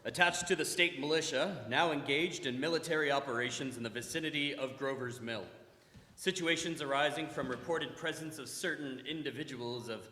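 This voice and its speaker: In a reverberant hall, a man acts out an army captain giving a battlefield report. The male voice sits at a medium pitch and plays to the room in the manner of live radio drama. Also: fairly quick, pushed and bright, natural voice. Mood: confident, dismissive. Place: live performance in a church. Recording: echoey church hall live performance.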